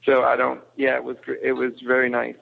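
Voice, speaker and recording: Guy on a thin phone-sounding line, bit of fry, casual, hunts for his words. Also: mid-range voice, completely flat delivery, a little fast, phone-quality sound. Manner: hesitant, searching for words. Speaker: podcast guest. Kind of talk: casual chat, film review.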